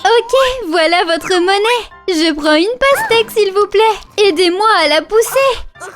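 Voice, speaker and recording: Very high-pitched cheerful lion cub. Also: female voice, lively intonation, slow pace, exaggerated voice. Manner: bright, rhyming sing-song delivery. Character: cheerful young lion cub, playful leader. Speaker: title character and narrator. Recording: cartoon dub with music behind.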